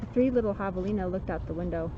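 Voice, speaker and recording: A woman reads a children's story in an expressive, emphatic way, slipping into casual talk. Her voice sits at a medium pitch. It was recorded outdoors, with a dull sound and plenty of background noise. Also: fast talker. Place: outdoors in woods.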